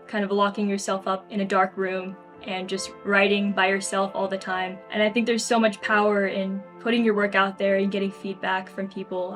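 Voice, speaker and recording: A woman's voice: medium pitch, fairly bright tone. Young woman, casual interview, faint music behind. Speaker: interview guest, novelist. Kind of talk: casual, reflective interview answers.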